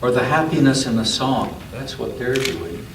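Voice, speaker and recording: A man's voice: medium pitch, animated, fairly bright tone. A man reading a picture book aloud to children at a church story time, breaking off for asides and questions about the pictures. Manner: pauses to ask listeners questions about the pictures. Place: roomy church interior. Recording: echoey room, distant mic, background noise.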